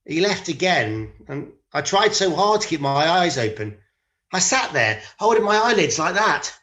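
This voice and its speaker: A man's voice: a little high, sing-song, moderate pace, bright, forceful tone. A man playing a tired, defensive disciple who recounts a night in a hesitant, colloquial, confessional monologue. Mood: rueful, tired. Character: weary disciple, sheepish, defensive, mildly resentful, recounting a night. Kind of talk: dramatic monologue in conversational modern speech.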